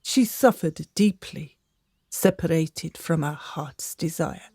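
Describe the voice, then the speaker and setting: A woman's voice: sits low, slow pace, a little mellow, breathy edge, dry recording, studio quality, full character voice. A woman playing a fretful, gossiping servant of a noble house in a radio drama, melodramatic and confiding. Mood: gossipy, pitying.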